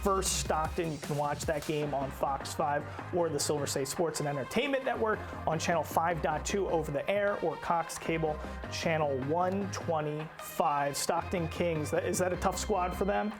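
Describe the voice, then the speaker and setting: A woman's voice: sits low, moderate pace. An American woman hosting a sports show, mixing upbeat scripted presenting with casual banter. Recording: music bed under the voice.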